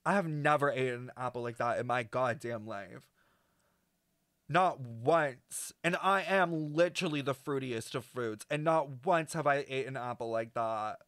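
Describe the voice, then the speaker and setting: A young man streaming his reactions, close on the mic with a dry sound. His voice is mid-pitched and his delivery is campy and theatrical, full of deadpan exaggeration. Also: moderate pace, no room sound. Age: young adult. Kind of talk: casual reaction commentary. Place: small indoor streaming room.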